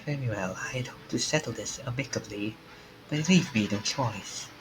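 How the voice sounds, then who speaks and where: Man putting on a measured, menacingly polite villain. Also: medium pitch, natural voice, low-quality recording. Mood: coolly courteous. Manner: formal, measured, courteous. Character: polite, menacing male sorcerer's associate. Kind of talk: visual novel villain voice. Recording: game music behind the voice.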